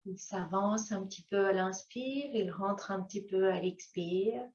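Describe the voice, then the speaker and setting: A woman yoga teacher guiding breathing exercises in a gentle manner, with long pauses and drawn-out phrases. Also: medium pitch. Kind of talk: guided yoga breathing instruction. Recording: echoey room, slightly dull sound.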